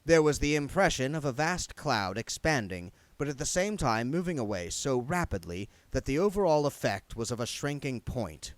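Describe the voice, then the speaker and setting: A man's voice: mid-range voice, sing-song, normal speed, hardly any pauses, no echo. A man reading a novel aloud as an amateur narrator, with dramatic storytelling delivery. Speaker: amateur book reader. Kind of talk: scripted audiobook narration.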